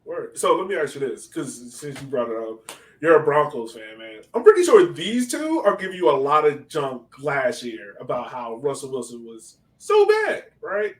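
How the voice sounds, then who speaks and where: A man's voice: medium pitch, huge pitch swings, normal speed. A man hosting a casual podcast interview, asking questions in an easy conversational style. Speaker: podcast host.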